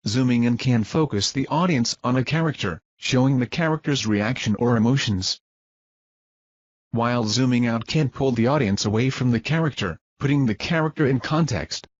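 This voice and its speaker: A man reads a scripted research narration in a formal, measured manner, like a presenter explaining a technical method. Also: mid-range voice, no room sound, dull audio.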